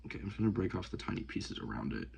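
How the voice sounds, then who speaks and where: A young man vlogging casually while working at a desk, rambling and talking to himself with many hesitations and often dropping to a hush. He sounds sniffly and congested. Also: low-pitched, very animated, rapid-fire, vocal fry. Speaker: outdoors vlogger. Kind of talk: casual hands-on vlog. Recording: noisy home recording.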